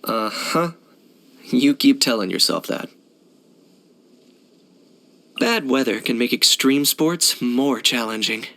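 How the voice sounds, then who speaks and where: An actor playing a cool, pragmatic young hunter for hire in a video game, deadpan, matter-of-fact and laconic. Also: male voice, medium pitch, a little slow. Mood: dry, unimpressed. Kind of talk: video game character voice lines.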